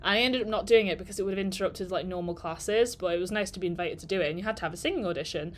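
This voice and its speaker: A woman's voice: lowish voice, very fast, slight background noise. Rambling chatty woman. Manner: chatty and rambling, sidetracks often, laughs between thoughts. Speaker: digital marketer vlogger. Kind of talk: casual storytelling during a live work stream.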